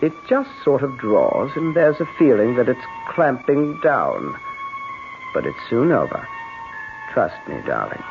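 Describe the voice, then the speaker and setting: A man's voice: medium pitch, big pitch swings, a little slow, vocal fry, music in the background. A man playing a controlling, patronisingly reassuring husband in a 1970s radio drama. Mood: controlling, soothing. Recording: muffled, hissy 1970s radio broadcast.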